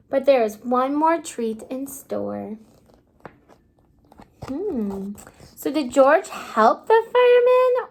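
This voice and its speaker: A woman kindergarten teacher reading a storybook aloud to young children in an exaggerated, child-directed way, pausing to ask simple questions. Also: fairly high pitch. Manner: asks simple questions, pausing as if listeners answer.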